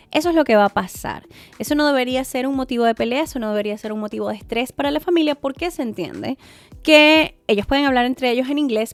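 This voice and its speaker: A woman's voice: high voice, dry recording. A woman hosts a podcast, talking casually and earnestly to listeners and giving parents advice with many asides. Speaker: podcast host.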